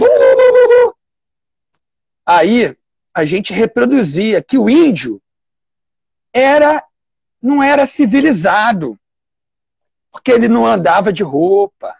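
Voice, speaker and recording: A man with a Brazilian Portuguese accent giving a live online lecture as a public defender. He explains step by step, pausing to think and restarting phrases. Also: high voice, vocal fry, a little mellow, slightly rough, no room sound.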